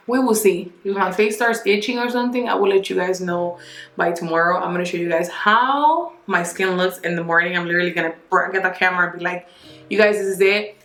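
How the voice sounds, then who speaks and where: A woman's voice: mid-range voice, reverberant. A young woman reviewing skincare products in a casual, candid chat, speaking to a camera close in front of her at home. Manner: chatty, candid, animated asides. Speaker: beauty vlogger. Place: home bathroom, indoors.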